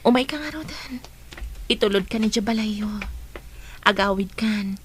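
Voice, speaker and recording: A woman's voice: medium pitch, a little slow, a little hiss or hum, natural voice. A woman acting a supportive, reassuring young friend in an Ilocano radio drama.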